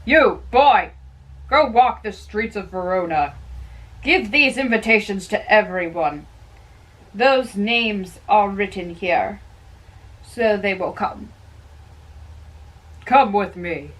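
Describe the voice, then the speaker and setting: Slow, lively-pitched woman reading drama. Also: rough recording. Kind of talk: amateur dramatic play reading.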